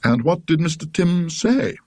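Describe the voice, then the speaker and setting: Guy playing a proper british gentleman scholar in a ghost story, polite and curious, measured, slightly raised lively voice. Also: on the high side, dry recording, studio quality, voice pushed up. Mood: curious, attentive. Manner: courteous, measured, formal. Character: polite, educated gentleman scholar, mildly inquisitive.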